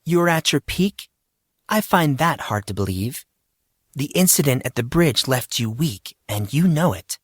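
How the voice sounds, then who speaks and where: A man's voice: mid-range voice, expressive, measured pace, no room sound, studio quality. A man voicing a concerned, questioning woman teacher in an audio story. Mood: skeptical. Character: worried, challenging adult woman teacher in high heels.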